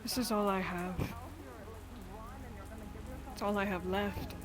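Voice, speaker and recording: A woman acts out an afflicted young noblewoman in a fantasy roleplay. Her voice sits at a medium pitch. She speaks with brooding emphasis, bitter and defiant. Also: fairly even intonation, slow, a crowd in the background, lightly acted. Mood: bitter despair. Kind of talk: improvised fantasy roleplay acting.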